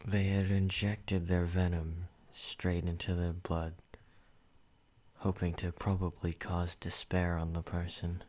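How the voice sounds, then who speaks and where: A man voicing a possessive male vampire who speaks calmly and directly to the listener in an ASMR roleplay. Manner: slow, low and measured, addressing the listener.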